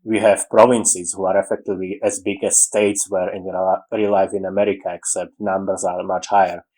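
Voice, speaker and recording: Slovak man, low voice, rambling. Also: level tone, normal speed, warm tone, low-quality recording. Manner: rambling, with frequent restarts and dropped articles. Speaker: writing podcaster. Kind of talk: casual monologue on story writing.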